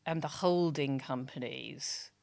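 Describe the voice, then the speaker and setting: Low-voiced, loud, emphatic woman. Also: slow pace, gravelly. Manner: emphatic and frank, with many restarts. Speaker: guest brand strategist. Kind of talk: candid podcast interview talk.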